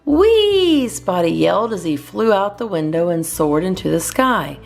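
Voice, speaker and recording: A woman narrating a children's picture book as a storyteller, in an expressive scripted reading. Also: lowish voice, normal speed, creaky, soft background music. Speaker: children's storyteller, channel host.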